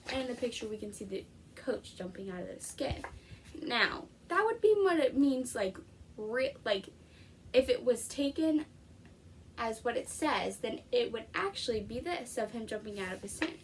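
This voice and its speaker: A woman's voice: fairly high pitch, lively intonation, normal speed, distant mic, a little hiss or hum. A woman explaining things informally as a teacher during a read-aloud lesson.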